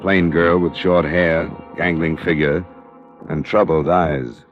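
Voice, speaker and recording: Hard-boiled American private investigator, very low voice, terse, vintage radio sound with some music. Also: male voice, steady tone, slow. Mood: dry, matter-of-fact. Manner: clipped, dry, matter-of-fact. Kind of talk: 1950s radio detective narration and dialogue. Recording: dull vintage radio recording.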